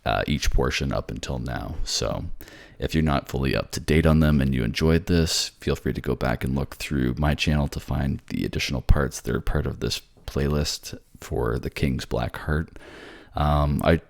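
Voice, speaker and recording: A man's voice: extremely low pitch, normal speed, creaky, few pauses. A man working as a voice actor and narrator, in an ASMR storytelling style. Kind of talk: soft narration and casual sign-off.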